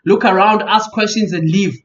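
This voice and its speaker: Emphatic South African man, higher-pitched. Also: normal speed. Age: 30s. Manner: animated, emphatic, repeats himself for stress. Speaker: podcast host. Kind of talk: casual interview chat. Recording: dull lo-fi podcast audio.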